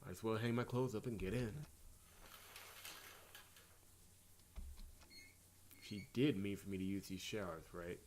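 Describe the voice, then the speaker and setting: A man's voice: fairly low pitch, fast, a little mellow, a little hiss or hum, natural voice. A man voicing a weary, anxious young male fighter in a visual novel, self-deprecating and hesitant. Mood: uncertain. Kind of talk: visual novel character reading.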